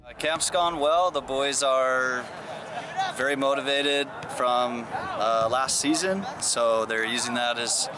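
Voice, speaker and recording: Mid-pitched male coach, noisy outdoors. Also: slow pace. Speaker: college soccer coach. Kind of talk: spontaneous interview answers. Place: outdoors at a practice. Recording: noisy outdoor ambience with traffic.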